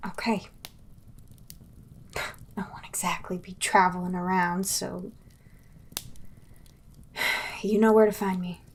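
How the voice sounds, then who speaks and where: Breathy, weary young-sounding woman. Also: lowish voice, flat delivery, slow pace, long pauses, full character voice. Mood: weary resignation. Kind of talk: audio drama acting.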